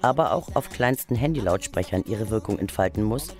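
A man's voice: lowish voice, animated, a little fast, darkish tone. A man reading scripted narration for a radio documentary. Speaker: feature narrator. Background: guitar music.

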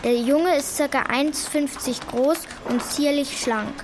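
A woman's voice: high-pitched, measured pace, noisy background. A female performer playing an earnest, insistent young schoolgirl in a German children's radio play, blunt and worried. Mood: reading aloud. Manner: blunt, insistent, hesitant when unsure.

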